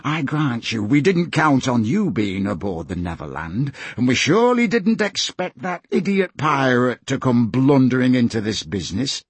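A man voicing a menacing, gloating pirate villain in an audiobook, with a taunting manner and rough, ungrammatical speech. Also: medium pitch, sing-song, normal speed, few pauses, no room sound.

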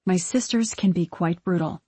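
A woman playing a gentle, wistful young ghost of a witch in an audiobook, speaking in earnest, measured, old-fashioned phrases. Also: on the low side, slightly rough, no echo. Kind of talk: audiobook character acting.

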